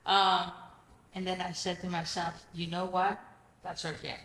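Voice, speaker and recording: A woman from Greece, Greek her first language, telling her story as an interview guest with lively acted-out dialogue. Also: on the low side, a little slow, a little hiss or hum. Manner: animated storyteller, acts out quoted dialogue, frequent "I'm like". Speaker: guest, real estate investor. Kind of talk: casual interview chat. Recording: echoey room with faint ambience.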